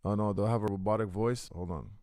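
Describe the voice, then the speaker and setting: A man hosting a casual livestream podcast through a deep robotic voice filter, chatting with viewers and calling them guys. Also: fairly even intonation, fast talker, a little mellow, no echo, studio quality. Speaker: podcast host. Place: Canada.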